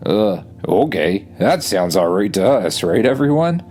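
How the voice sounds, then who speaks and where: Man voicing a friendly, hesitant low-voiced dragon. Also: sing-song, a little mellow, faint music behind. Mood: curious, agreeable. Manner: halting, apologetic, casual. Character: friendly, hesitant, well-fed talking dragon. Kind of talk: improvised children's bedtime storytelling.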